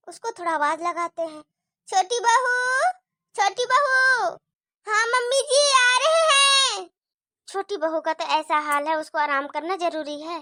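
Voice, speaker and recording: A woman's voice: extremely high pitch, bright, forceful tone, voice pushed down, exaggerated voice. Woman putting on a nagging older mother-in-law. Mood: complaining. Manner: scolding, nagging and commanding. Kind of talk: homemade family drama skit.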